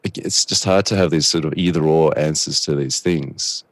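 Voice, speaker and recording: A man's voice: extremely low pitch, moderate pace, dry recording. A middle-aged man, a guest, answering in a thoughtful, rambling way with a soothing voice and dramatic bursts. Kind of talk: reflective conversational answers.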